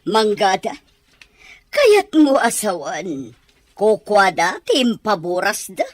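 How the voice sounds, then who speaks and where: A woman's voice: medium pitch, sing-song, slow, dry recording. A woman voicing a skeptical, gossipy older village woman in an audio drama, asking pointed questions. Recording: faint background music.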